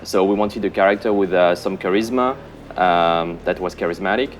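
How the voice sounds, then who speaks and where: A man with a fairly low voice answers questions casually, pausing often with ums and uhs. He sounds relaxed and easygoing and drops in a bit of dry, self-mocking humor. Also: slight background noise. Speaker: senior game producer.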